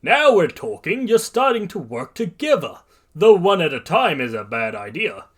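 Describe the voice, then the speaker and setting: Man doing a booming, theatrical superhero. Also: sing-song, normal speed, slightly rough, full character voice. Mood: excited. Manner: booming, grandiose.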